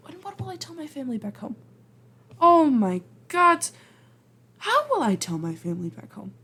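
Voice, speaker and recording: A woman's voice: medium pitch, husky. A woman playing a flustered, apologetic time traveler from the future in an ASMR roleplay, speaking hesitantly, stammering and rambling in a self-deprecating way. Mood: panicking. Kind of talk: ASMR roleplay acting, intimate and conversational.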